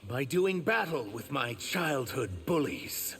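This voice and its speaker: A man voicing an earnest, wounded reptilian shapeshifter for a video game, measured and sincere with a gravelly edge. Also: mid-range voice, sing-song, a bit bright, heavily acted. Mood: wary, dry. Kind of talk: video game character acting.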